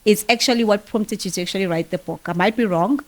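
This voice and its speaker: Brief: South African woman podcast host, enthusiastic, rambling questions, mid pitch. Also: fast. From KwaZulu-Natal, South Africa. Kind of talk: conversational interview.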